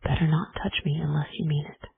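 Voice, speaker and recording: A reader voicing a gruff, doting young man, his lines whispered roughly in a romance audiobook. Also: a little high, level tone, a little fast. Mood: tender, gruff. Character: gruff, doting young male boss and lover. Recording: tinny phone-like recording.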